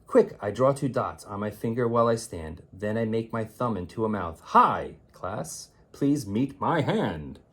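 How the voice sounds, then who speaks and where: Male storyteller for a kids' picture book: lowish, husky voice, theatrical delivery, stretched key words. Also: animated, measured pace, hardly any pauses, a bit bright. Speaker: teacher reading a picture book aloud. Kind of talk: expressive children's read-aloud of rhyming poems.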